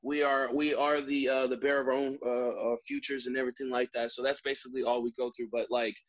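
A man with a light voice, a pro wrestler guest telling animated stories with frequent laughs. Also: mid-range voice, fast talker, no echo, muffled recording. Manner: animated storyteller, laughs often. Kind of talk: casual podcast interview.

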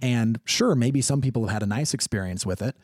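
An American man in his thirties talks as a guest in a casual interview. His voice sits at a middle pitch, and he speaks insistently, repeating himself for emphasis. The sound is dry, with almost no room. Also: brisk, darkish tone, no room sound. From Wyoming, United States. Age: 30s.

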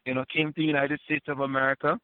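A man's voice: medium pitch, flat delivery, rapid-fire, no room sound. A man in his sixties from Trinidad and Tobago, a former Wall Street compliance officer, talking earnestly as an interview guest and often repeating words as he restarts. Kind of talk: casual interview talk. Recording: phone-line call, dry.

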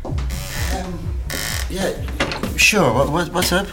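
A man's voice: high-pitched, drawn-out words, creaky, over music, heavily acted. A man playing a weary, kindly poetry teacher in a radio drama, coaxing and repeating himself. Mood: reassuring, weary. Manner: coaxing, hesitant repetitions.

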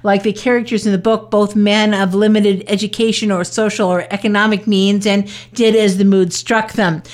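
A woman's voice: medium pitch, level tone, moderate pace. Matter-of-fact woman reading a news report. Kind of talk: scripted radio news report.

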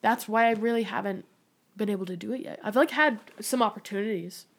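A woman's voice: medium pitch, fairly quick. A young adult woman talking candidly as a podcast guest, rambling with lots of filler words. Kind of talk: casual candid conversation.

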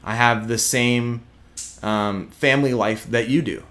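A young man from Houston with a faint Texas twang talks candidly in an interview. His voice sits at an ordinary middle pitch, and he presses his points with emphasis, often breaking off and restarting mid-sentence. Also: fairly even intonation, measured pace, fairly bright tone. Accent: slight Texas twang. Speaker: guest, prosecutor.

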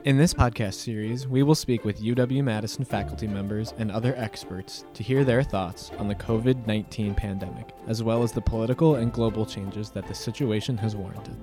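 A man's voice: on the low side, steady tone, brisk, hardly any pauses. A young man with an American accent reading a scripted podcast intro as its host. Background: loud music.

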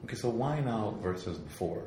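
Male interview host, very low voice, rambling delivery, echoey room. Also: big pitch swings, brisk, mellow, a little hiss or hum. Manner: rambling, restarts phrases often. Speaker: podcast host. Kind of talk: casual interview.

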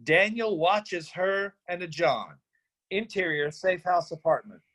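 A man's voice: sits high, lively intonation, unhurried, fairly bright tone. A man reading out stage directions and scene recaps as scripted narration for a screenplay reading.

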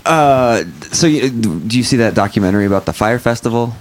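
A man hosting a casual podcast chat, relaxed and drawn out, swearing casually as he talks. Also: medium pitch, a little fast.

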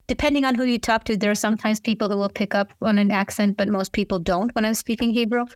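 A woman's voice: mid-range voice, no room sound. A woman who grew up in Israel, speaking frankly as a translator guest in an interview. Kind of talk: interview talk.

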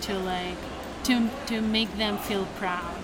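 A woman's voice: mid-range voice, fairly even intonation, slow pace. A young woman from Spain with a Spanish accent, a native Spanish speaker, chatting casually as an interviewee and filling her talk with "like". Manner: chatty, many "like" fillers. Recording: noisy background ambience.

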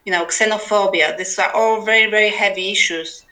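A woman's voice: mid-range voice, steady tone, a little fast, sharp tone, low-quality recording. A woman, talking conversationally and with wry humor as an interview guest. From Poland. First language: Polish. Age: 40s. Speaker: podcast guest, writer. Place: remote internet call.

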